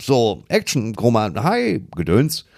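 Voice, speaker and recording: Casting: male co-host, highly animated melody, punchy slangy chat, close dry sound. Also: slow, gravelly, dry recording. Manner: colloquial, emphatic. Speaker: film podcast co-host. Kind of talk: casual banter about films. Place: living room.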